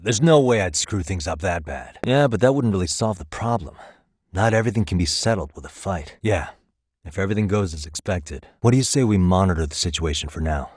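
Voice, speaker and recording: An actor playing a calm, wry private detective in his thirties in a video game, speaking in a measured, conversational way. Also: sits low, no echo, clean studio sound. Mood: businesslike. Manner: measured, conversational, lightly sardonic. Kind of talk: naturalistic English video game voice acting.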